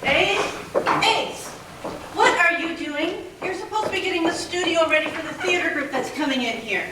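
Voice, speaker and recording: A woman's voice: very high-pitched, background noise. An actress playing a bossy woman who runs a small radio station and scolds her lazy janitor, recorded from a distance in an echoey hall. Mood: scolding. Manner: scolding, brisk.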